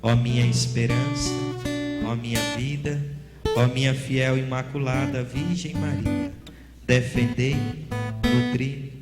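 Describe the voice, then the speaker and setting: Brazilian preacher, 30s, fervent and earnest, loud guitar playing under him, long hall echo. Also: male voice, mid-range voice, lively intonation, slow pace. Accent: Brazilian Portuguese. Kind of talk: prayer and live sermon. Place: large hall at a religious gathering. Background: loud guitar music.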